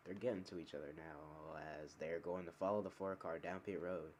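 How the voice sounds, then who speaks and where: A man giving play-by-play commentary on an online sim racing event, calling the on-track action quickly and correcting himself as he goes. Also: low-pitched, steady tone, darkish tone, a little hiss or hum. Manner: rapid calls of on-track action, talking himself through corrections. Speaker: race commentator.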